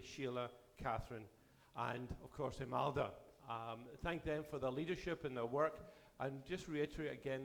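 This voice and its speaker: Bishop addressing a synod, voice going. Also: male voice, medium pitch. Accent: Canadian. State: losing his voice. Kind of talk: formal address to a church assembly. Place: church assembly hall.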